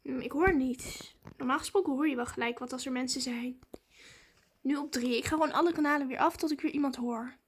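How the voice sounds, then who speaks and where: A woman, chatting casually and excitedly to viewers as a vlogger. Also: high voice, a little fast, background noise.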